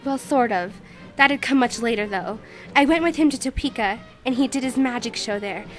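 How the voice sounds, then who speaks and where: A wistful, troubled magician's assistant played by a woman speaks in a fairly high voice, hesitant and earnest. It sounds like a noir radio drama client, with music underneath. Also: a little fast, lightly acted. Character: troubled, wistful young female magician's assistant seeking help.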